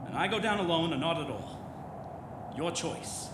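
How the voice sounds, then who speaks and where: Intense headstrong explorer, radio horror play, higher voice, commanding, theatrical, roomy live stage. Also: male voice, lively intonation, measured pace, heavy background noise. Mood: confident, condescending. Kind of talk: old-time radio horror drama acting. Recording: roomy live stage, loud music underneath. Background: loud music.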